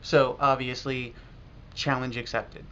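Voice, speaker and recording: An American man talking casually and reflectively in a video blog as a language learner, halting now and then with pauses. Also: medium pitch, little pitch movement, bright, forceful tone. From United States. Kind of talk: casual talk to camera about learning. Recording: dull lo-fi audio with clear noise.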